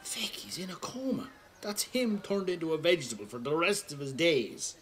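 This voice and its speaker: Over noticeable background noise comes an actor's turn as an older Irish man in an audio drama monologue. His fairly high voice swings widely up and down as he rambles through his tale. He is a wry, callous rogue who swears freely and sounds like he is yarning in a pub. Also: lively intonation, moderate pace, a bit bright. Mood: wry storytelling. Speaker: storyteller. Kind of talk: dramatic monologue told as casual pub-style anecdote.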